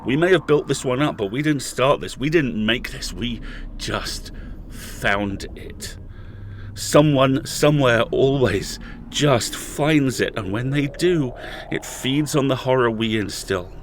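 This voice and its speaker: A man's voice: medium pitch, expressive, slow pace, a little hiss or hum. Give me a man voicing a furious, desperate podcast host held captive, talking with raw intensity in an audio drama. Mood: grim. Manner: intense, raging, pleading.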